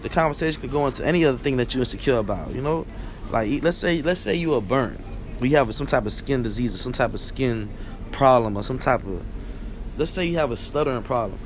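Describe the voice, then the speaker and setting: A man's voice: mid-range voice, animated, quick pace, a little hiss or hum. Rambling Barbadian man, casual monologue. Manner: rambling, conversational, frequent "you know what I'm saying". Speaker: vlog host.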